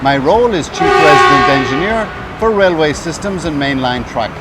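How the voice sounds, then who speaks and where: A man's voice: on the high side, lively intonation, normal speed, very noisy. A man from Ireland, an engineer, giving a plain, factual self-introduction. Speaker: chief resident engineer.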